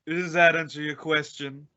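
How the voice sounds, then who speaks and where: A man's voice: a little high, sharp tone, clear, resonant tone. A man playing a grumpy, blustering school principal in an old-time radio comedy, curt and exasperated. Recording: dull lo-fi radio drama audio.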